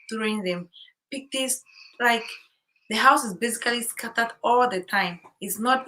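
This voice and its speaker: A woman's voice: on the high side, a bit bright, rough recording. A woman talking casually about life as a new mother, chatty with many fillers and repeated words. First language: English. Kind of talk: casual chat. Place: home in Korea.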